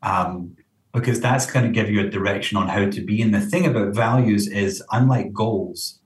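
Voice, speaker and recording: A low-pitched man explains things conversationally, like a counsellor answering interview questions. He often checks in as he goes. The recording is roomy and echoey, with him sitting some way from the microphone. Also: moderate pace. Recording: roomy echo, distant from mic.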